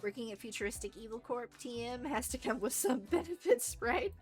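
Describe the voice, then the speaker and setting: A woman's voice: fairly high pitch, expressive, brisk, faint music behind, voice pushed up, close to an everyday voice. Woman playing a groggy, sarcastic hungover show producer. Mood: wry, hungover.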